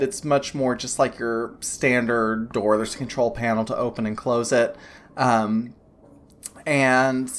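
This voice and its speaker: A woman's voice: rumbling low, slight background noise. A woman running a tabletop game as game master, narrating loosely with lots of fillers and laughing easily. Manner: loose and chatty, many fillers, laughs often.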